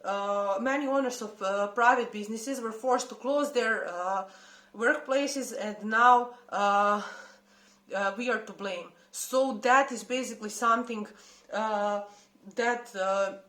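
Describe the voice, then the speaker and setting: A woman from Serbia with a Serbian accent, giving an impassioned but halting unscripted statement. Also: a little high, fairly bright tone. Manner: impassioned, halting with many hesitations. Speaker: protest commentator. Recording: roomy echo.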